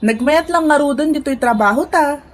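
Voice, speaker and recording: An actress with an Ilocano accent playing a concerned, pleading friend and co-worker in a comedy radio drama. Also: female voice, sits high, moderate pace, slight background noise, lightly acted. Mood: worried, pleading.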